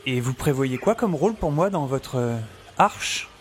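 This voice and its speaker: An actor playing a flippant professor and explorer in an audio drama, wry and informal in narration and dialogue. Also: male voice, medium pitch, animated, a little slow, a little hiss or hum, lightly acted. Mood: hesitant, flippant. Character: flippant, hesitant male professor and explorer narrating his adventure.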